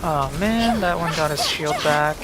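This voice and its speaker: A man's voice: on the high side, measured pace. Casual male gamer with a light voice. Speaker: gameplay streamer. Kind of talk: casual reacting commentary during play. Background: loud game music.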